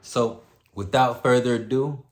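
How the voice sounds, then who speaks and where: A man hosting a story time, with a laid-back, slangy manner, moving between casual chat and expressive read-aloud. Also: medium pitch, measured pace. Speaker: host reading a story aloud.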